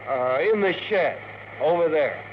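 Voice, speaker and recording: A man's voice: on the high side, animated, a little slow. An actor playing a wry, grumbling spaceship crewman and sidekick in a 1950s radio drama, terse and informal. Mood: compliant. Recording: tinny, noisy 1950s radio recording.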